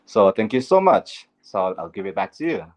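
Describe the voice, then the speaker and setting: A young Zambian man speaks in an interview with a lowish voice. He talks earnestly and candidly, filling gaps with "um" and coming back to stock phrases. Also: very animated, a little fast. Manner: earnest and candid, with frequent "um" and "at the end of the day". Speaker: guest, LGBTQ advocate. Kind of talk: interview, personal story.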